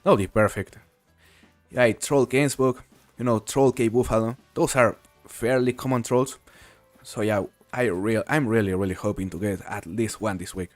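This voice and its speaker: Man, low voice, game streamer, laid-back chat with viewers, dry close sound, faint music behind. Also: moderate pace, no room sound. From Mexico. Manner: relaxed, chatty, answers viewers while playing. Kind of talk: casual live-stream commentary, reading chat.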